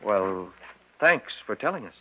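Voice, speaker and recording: Animated everyman storyteller, old muffled radio. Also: lowish voice, measured pace, a little hiss or hum. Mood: puzzled, wry. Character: sceptical everyman fisherman telling an eerie tale. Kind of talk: 1940s radio acting, part dramatic narration, part dialogue.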